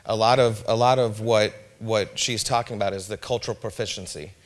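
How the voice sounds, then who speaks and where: A man's voice: on the low side, fairly even intonation, fairly quick. A man speaking as an educator on a panel, reflective and repeating words as he builds his point. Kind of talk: panel discussion.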